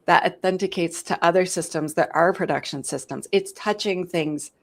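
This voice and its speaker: A woman in her forties with a lowish, gravelly voice. She explains things in a talkative, animated way and tells stories as she goes. It is a casual live-stream chat. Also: moderate pace. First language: English. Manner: talkative, animated storytelling with laughs. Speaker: host of a security live stream.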